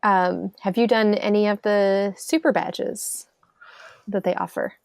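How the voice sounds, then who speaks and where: A woman's voice: mid-range voice, fairly even intonation, a little slow, gravelly, a little mellow, no room sound, clean studio sound. A woman co-hosting a podcast, offering brief affirmations and short follow-up questions. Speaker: podcast co-host. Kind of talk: casual podcast interview.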